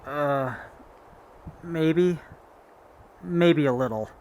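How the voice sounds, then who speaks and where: A man's voice: a little high, drawn-out words, a little mellow, a little hiss or hum, low-quality recording. A man playing a gullible, eager young companion in a post-apocalyptic audio drama, guileless and full of wonder. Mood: curious, naive.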